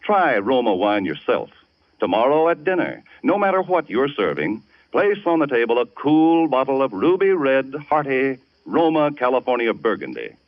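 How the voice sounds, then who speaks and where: A man's voice: mid-range voice, big pitch swings, normal speed, no room sound. A man reading a 1940s radio commercial as announcer, in a formal, emphatic selling style. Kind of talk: polished 1940s radio advertising read. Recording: dull vintage radio broadcast.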